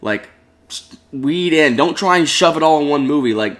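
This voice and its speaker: A man co-hosting a casual chat, speaking loosely and haltingly with many fillers. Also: fairly high pitch, animated, fairly bright tone. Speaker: podcast co-host.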